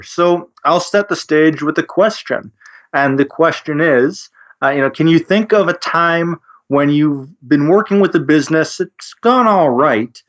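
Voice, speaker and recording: Male co-host, fairly high voice, measured explainer, dull lo-fi sound. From Canada. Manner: measured, explanatory, sets up questions. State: slightly under the weather. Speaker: podcast co-host. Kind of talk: casual business podcast chat. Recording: dull lo-fi audio.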